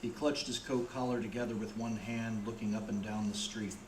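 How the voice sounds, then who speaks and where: A man's voice: lowish voice, fairly even intonation, brisk, slight background noise, close to an everyday voice. A man acting as the plain, grim storyteller of a radio play, reading scripted narration. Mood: grim, measured. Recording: roomy, with music behind.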